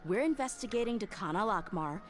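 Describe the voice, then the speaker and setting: An actress playing a tough, no-nonsense mercenary in a video game, commanding and curt. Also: female voice, medium pitch, expressive, fairly quick, natural voice. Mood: stern, confident. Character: tough, no-nonsense female mercenary, bold and threatening.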